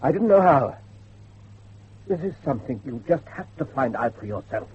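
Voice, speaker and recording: An actor playing a grave, foreboding friend in a horror audio drama, speaking in an ominous, emphatic and dramatic way. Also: male voice, mid-range voice, very animated, normal speed, husky. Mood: grave warning. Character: grave, foreboding male friend who warns of doom. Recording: dull, muffled lo-fi drama recording.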